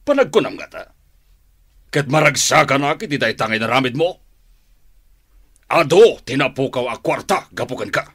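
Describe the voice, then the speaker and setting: A domineering crime-boss father in a radio drama. His voice is husky and swings widely in pitch. He talks in a gruff, scolding manner. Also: sing-song, moderate pace, pauses often, fairly bright tone, exaggerated voice. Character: stern, domineering father running shady businesses.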